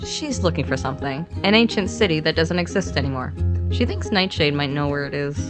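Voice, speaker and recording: A woman with a fairly low voice tells a story as one of two podcast narrators. Her delivery is scripted yet conversational, playful and dryly informal, and music plays clearly underneath. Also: lively intonation, brisk. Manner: playful, informal, dry asides.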